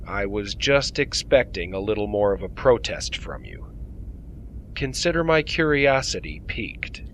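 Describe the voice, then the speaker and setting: An actor playing a wry, unrepentant starship captain in an audio drama, speaking in a dry, measured and formal way. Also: male voice, on the low side, expressive, fairly bright tone, slight background noise, poor audio. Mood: wry, composed. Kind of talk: science fiction audio drama acting.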